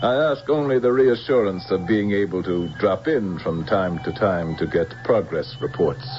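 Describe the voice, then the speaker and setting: A dull vintage radio recording with music carries a male actor as a scheming general. His voice is low, and his polished, formal speech is soulless and full of threat. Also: moderate pace, natural voice. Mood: smug, probing. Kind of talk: 1970s radio drama acting.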